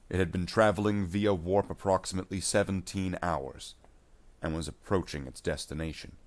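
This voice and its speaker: A man with a low-pitched voice serves as narrator for a science fiction tale. He reads from a script in a measured, dramatic storytelling style, keeping to his own voice throughout. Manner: measured storytelling delivery without character voices.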